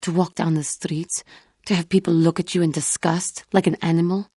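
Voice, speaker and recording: A low, dark female voice for a feline alien warrior monk in a video game. She is solemn and proud with old wounds underneath, choosing formal words in a guarded, earnest manner. The tone is husky and close. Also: little pitch movement, no echo. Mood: bitter, wounded. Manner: formal, measured, guarded, earnest, avoids contractions. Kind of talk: video game character dialogue.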